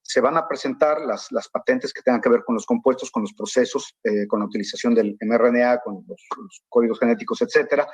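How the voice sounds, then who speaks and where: Male panelist, husky, hesitant with fillers, dry close sound. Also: medium pitch, no room sound. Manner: hesitant, many fillers and repeated words. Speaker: pharmaceutical company panelist. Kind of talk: panel talk over video call.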